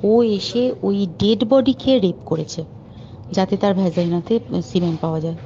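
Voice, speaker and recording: A woman's voice: mid-range voice, fairly even intonation, moderate pace, mellow, slight background noise. A woman giving an emphatic, indignant, informal account as a caller on a recorded phone call.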